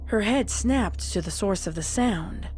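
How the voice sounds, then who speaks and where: A woman's voice: mid-range voice, animated, a little slow, a little mellow, a little hiss or hum. A woman narrator reading a scripted dramatic story in prose.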